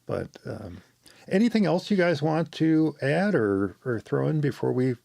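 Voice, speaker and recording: A man hosting a podcast, talking in a rambling, relaxed, explaining way into a close microphone. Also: a little high, unhurried, creaky, dry recording. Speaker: podcast host. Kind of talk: casual podcast chat.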